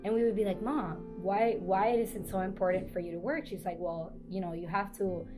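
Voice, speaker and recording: A woman's voice: medium pitch, fairly even intonation, a little fast. A woman from Puerto Rico, telling personal stories with animation in an interview. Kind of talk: documentary interview. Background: guitar music.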